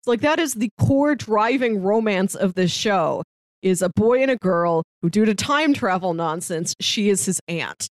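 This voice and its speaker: A woman's voice: medium pitch, expressive, normal speed, no echo. A woman co-hosting a podcast, talking casually about a TV show in a musing, questioning way. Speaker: podcast co-host.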